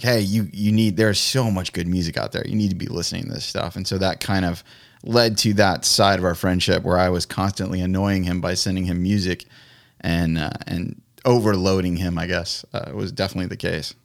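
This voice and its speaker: A man with a deep voice and plenty of vocal fry talks casually as a podcast guest. His delivery is informal and anecdotal, captured in a dry recording. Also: no echo.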